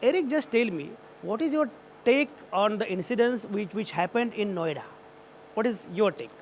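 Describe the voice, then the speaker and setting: A man hosting a TV talk show, moderating a panel briskly and cutting in to steer the talk. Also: sits high, lively intonation, slight background noise.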